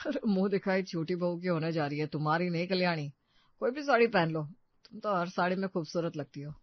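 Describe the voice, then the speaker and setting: A woman voicing a calm, sensible grown man, the elder brother-in-law, in a cartoon story. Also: lowish voice, brisk, lightly acted. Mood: teasing. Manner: soft, steady, sensible. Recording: dull dry recording.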